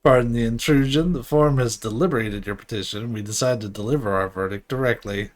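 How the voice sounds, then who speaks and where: A man voicing a stiff council official from a fantasy game, formal and measured as if delivering a ruling. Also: medium pitch, natural voice. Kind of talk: game dialogue read aloud in character.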